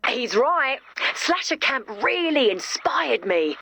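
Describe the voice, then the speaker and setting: Lively, sweetly unhinged lady slasher. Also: high voice, slow pace, gravelly, full character voice. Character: cheerful, genteel woman with a slashing zeal. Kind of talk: scripted video game voice acting.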